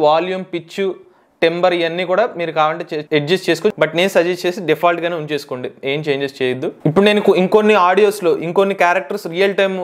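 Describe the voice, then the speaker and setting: A man presents a software tutorial at a very rapid pace. His voice is fairly high, and he explains in an instructional way, repeating key phrases. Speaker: tech tutorial host.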